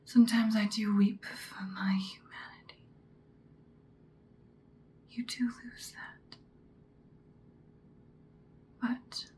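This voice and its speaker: A woman whispering as an ancient female vampire slayer, seductive and stern, in an ASMR roleplay with slow, deliberate, breathy delivery. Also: on the high side. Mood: wistful, stern.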